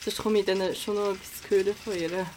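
A young woman acting as a teenage girl in a radio play, mid-pitched. She is cautious yet up for adventure and talks in casual slang, with noise audible behind her. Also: steady tone, a little fast, lightly acted. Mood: hesitant, thoughtful. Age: young adult. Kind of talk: amateur radio drama dialogue.

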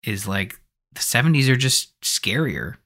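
A man's voice: mid-range voice, sing-song, a little slow, sharp tone. A man hosting a podcast, discussing films in a casual, conversational and hedging way. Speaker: podcast host.

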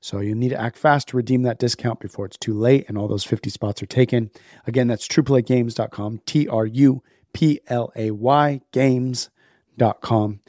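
A man with a hoarse, worn voice from being sick, casually opening a podcast and reading sponsor messages. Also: medium pitch, steady tone, normal speed, mellow, no room sound, clean studio sound. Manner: upbeat, chatty, laughs at himself. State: hoarse, sick, losing his voice. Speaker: podcast host.

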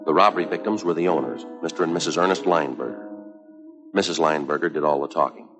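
Stoic American detective, low-pitched, clipped deadpan narration, 1950s TV soundtrack, music behind. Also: male voice, fast. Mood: flat, businesslike. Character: stoic, businesslike male police detective sergeant narrating cases. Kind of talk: 1950s police drama narration, terse and factual.

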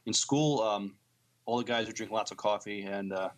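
An American man co-hosting a podcast, chatting casually with a halting, hesitant delivery. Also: fairly low pitch. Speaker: podcast co-host. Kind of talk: casual chat.

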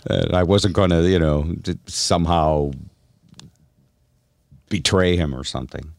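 A man's voice: deep voice, unhurried, vocal fry, darkish tone, no room sound, studio quality. A man hosting a casual radio chat, telling stories and needling his co-hosts with probing questions. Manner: teasing, probing. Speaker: radio show host.

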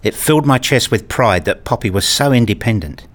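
A man reading scripted first-person narration for a radio play, telling it in a measured, literary way. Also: medium pitch, lively intonation, a bit bright.

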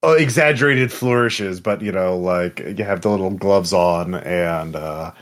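A man at a friendly game night, describing his character's moves in a lowish voice. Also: a little slow, fairly bright tone. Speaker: player. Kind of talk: casual tabletop role-play.

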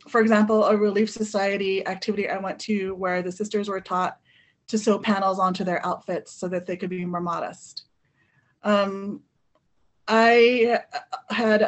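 Thoughtful woman speaking with frequent pauses. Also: medium pitch. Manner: thoughtful, hesitant with many fillers. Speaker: panel guest. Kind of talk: conversational discussion.

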